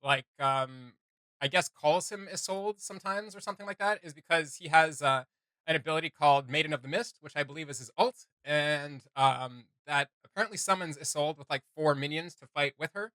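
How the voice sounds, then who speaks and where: Male reaction host, higher-than-average bright voice, relaxed chatty commentary with some hesitancy, closely recorded and dry. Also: fairly quick, dry recording.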